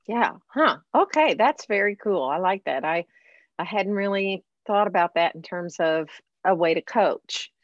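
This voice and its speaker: A woman who is a classically trained singer, hosting an interview in animated, chatty conversation with frequent laughs. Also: lowish voice, a little slow, no echo, dull audio. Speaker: podcast host, professional classical singer. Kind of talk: casual interview chat.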